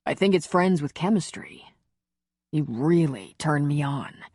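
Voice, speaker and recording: A big, tender romantic hero, as a female audiobook narrator plays him, murmuring to his lover. The voice is deep and husky, with a reassuring, amorous manner and a close, dry sound. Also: expressive, a little slow, darkish tone, no echo, heavily acted.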